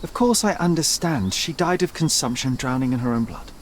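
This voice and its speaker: An actor playing a cool, self-assured young detective in a video game, speaking in a clipped, formal, composed way. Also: male voice, mid-range voice, lively intonation, a little fast, a little hiss or hum, natural voice. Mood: somber, defensive. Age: young adult. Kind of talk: video game cutscene acting.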